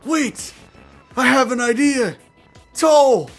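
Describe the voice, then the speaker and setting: An excitable young-sounding scheme-hatching gamer pal, acted out by a male storyteller, with a raised, high voice. He exclaims urgently and stretches his words out, with music playing underneath. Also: slow, heavily acted. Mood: excited.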